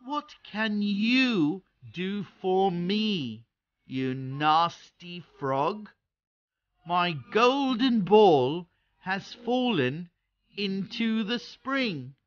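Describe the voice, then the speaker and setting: A narrator voicing a spoiled, tearful young princess in a children's storybook, with dramatic, drawn-out phrasing. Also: medium pitch, animated, no echo, highs cut off, pitched above the performer's own voice. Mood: scornful, upset.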